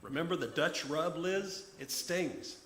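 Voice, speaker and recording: A man's voice: deep voice, sing-song, moderate pace, fairly bright tone, voice pushed down, heavily acted. A man voicing a blunt, impatient, demanding businessman in a told story. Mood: impatient, insistent. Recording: roomy echo, background noise.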